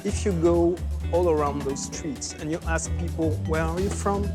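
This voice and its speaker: A man's voice: fairly high pitch, normal speed. Male interviewee, earnest and explanatory, music bed behind. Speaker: local interviewee. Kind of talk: documentary interview.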